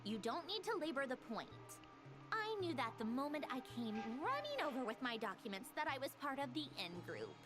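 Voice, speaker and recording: Brisk, proud female game researcher, highish and very expressive, a touch impatient, music underneath. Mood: impatient, self-assured. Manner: matter-of-fact, slightly impatient. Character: sharp, proud, brisk young woman researcher.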